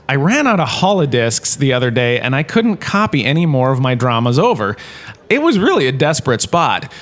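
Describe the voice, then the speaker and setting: A man's voice: medium pitch, lightly acted. A man playing a vain, washed-up drama star lecturing as a chatty, self-satisfied professor in a comic audio drama.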